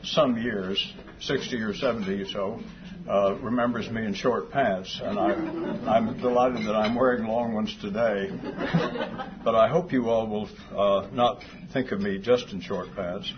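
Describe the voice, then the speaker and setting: Soft-voiced older man, low, lo-fi recording. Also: fairly even intonation, measured pace. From Fort Worth, Texas. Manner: dry humor, hesitant with fillers. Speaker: guest speaker. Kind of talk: after-lunch talk. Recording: dull lo-fi sound with clear background noise.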